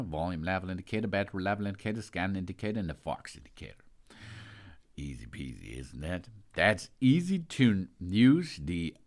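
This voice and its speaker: A man explaining a product and its buttons one by one, with occasional brief hesitations. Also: deep voice. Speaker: product reviewer. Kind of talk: product unboxing and instructions.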